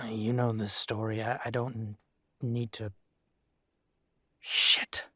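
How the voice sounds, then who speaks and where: A man playing a troubled, tender werewolf boyfriend in an intimate roleplay audio drama, talking haltingly with sighs. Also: lowish voice, little pitch movement, sharp tone, dry recording, studio quality, heavily acted. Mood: troubled, torn.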